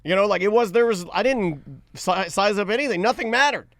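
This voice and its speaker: A man's voice: sits high, fairly quick, fairly bright tone, no echo, clean studio sound. A man co-hosting a talk show, trading casual comedic banter with a blunt, joking manner and frequent interjections.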